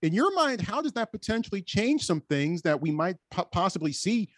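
An American man hosting a sports show, talking in a conversational, animated way. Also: high voice, moderate pace, no echo, clean studio sound.

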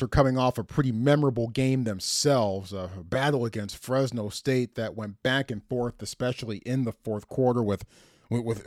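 A man's voice: a little high, a little mellow, dry recording, studio quality. A middle-aged man hosting a sports podcast, telling game stories with enthusiastic, emphatic, conversational commentary.

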